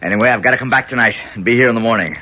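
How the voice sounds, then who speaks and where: A man's voice: medium pitch, rapid-fire, bright, forceful tone, slight background noise, natural voice. A man playing a resolute, commanding, wry hero in a 1970s radio drama. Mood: wry, determined. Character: resolute male hero planning a daring escape.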